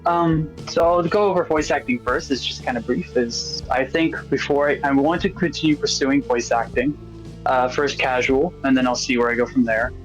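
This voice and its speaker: A man's voice: medium pitch, normal speed. A man chatting casually as a guest voice actor in an interview. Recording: slightly dull online call, music behind.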